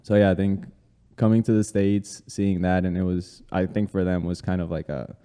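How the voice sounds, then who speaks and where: A man in his thirties from Colombia talks through his story at an easy pace, with plenty of "uh" and "like" along the way. His voice is low, very dark and smooth. It has a long hall reverb around it. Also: normal speed. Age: 30s. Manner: relaxed, with many fillers. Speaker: guest. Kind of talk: casual interview conversation.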